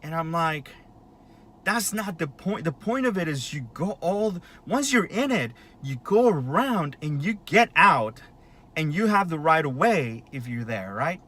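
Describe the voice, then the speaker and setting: A man's voice: a little high, lively intonation, measured pace, a bit bright. A man in his late thirties talking in a casual, rambling monologue, with lots of fillers and restarts. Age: 30s. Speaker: vlogger.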